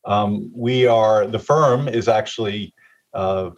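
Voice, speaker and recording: An older man answering interview questions about his firm, halting with many fillers and restarts. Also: fairly low pitch. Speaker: guest, real estate executive. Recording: slightly muffled mic.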